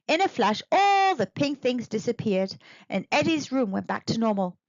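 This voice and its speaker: A woman narrating a children's storybook in an expressive, deliberate read-aloud manner. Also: on the high side, no echo, highs cut off. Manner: animated, deliberate.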